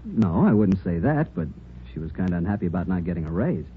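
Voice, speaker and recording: Earnest american boyfriend in old radio play, polite but confused, talks fast, muffled sound. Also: male voice, low voice, very animated. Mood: earnest, worried. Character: polite, puzzled, concerned young boyfriend, dental lab worker. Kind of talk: 1940s radio drama acting. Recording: muffled 1940s radio, faint hiss.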